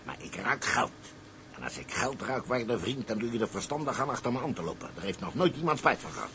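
An actor playing a boastful, wily older tugboat skipper with a salty, colloquial way of telling things. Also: male voice, mid-range voice, sing-song, fairly quick, pushed and bright, a little hiss or hum. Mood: scheming, insistent. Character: wily, boastful old colonial tugboat skipper, a persuasive schemer. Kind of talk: dramatised dialogue, storytelling in character.

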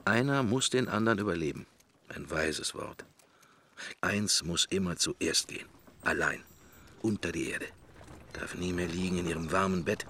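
Low-voiced, wryly musing middle-aged man. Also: moderate pace, pauses often, bright, forceful tone, a little hiss or hum. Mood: sombre musing. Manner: clipped, fragmentary musing, wry. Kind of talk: radio drama interior monologue.

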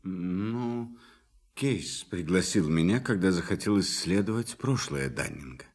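An actor playing a calm, tactful psychologist in a radio play, measured, questioning and reassuring. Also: male voice, low voice, breathy edge, heavily acted. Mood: probing, musing. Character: calm, tactful male psychologist, quietly probing and encouraging.

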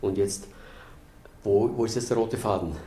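Mellow halting man in echoey room. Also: on the low side. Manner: halting, searching for words. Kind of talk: unrehearsed interview answer. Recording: echoey room with faint noise.

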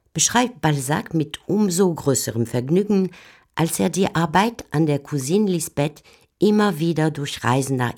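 Man reading literary commentary, mid-range voice. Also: mid-range voice. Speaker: commentator narrator. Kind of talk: scripted essayistic literary commentary.

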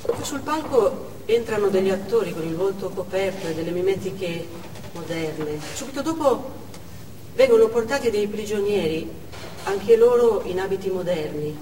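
A shaken woman survivor played by a stage actress tells her memories in halting, deliberate phrases with a wry edge. The mid-pitched voice comes from a distance in an echoey, noisy theatre. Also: animated, dark tone, close to an everyday voice. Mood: shaken recollection. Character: woman hostage in the audience recalling the siege, shaken, wry. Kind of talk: dramatic first-person stage monologue. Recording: echoey theatre, distant mic, clear background noise.

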